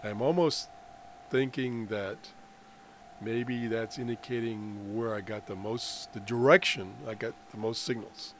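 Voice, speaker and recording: A man's voice: lowish voice, fairly even intonation. American man explaining, with noisy background. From United States. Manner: halting, explanatory delivery with trailing phrases. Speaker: amateur radio hobbyist presenting a tutorial. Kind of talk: unscripted software screen demonstration. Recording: clear background noise on voice-over.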